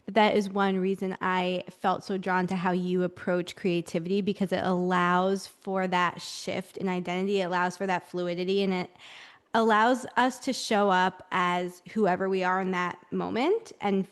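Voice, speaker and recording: A woman's voice: lowish voice, flat delivery, normal speed, clean tone. Affirming woman podcast host. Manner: warm, affirming, thoughtful questions with "mm" listening. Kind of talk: interviewing.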